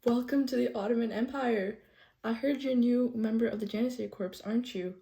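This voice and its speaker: Young woman, mellow soft-edged tone, polite halting delivery, scripted school-project tour guide. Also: fairly high pitch, creaky, low-quality recording. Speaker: student presenter playing a loyal Janissary tour guide. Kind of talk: scripted history project presentation in character.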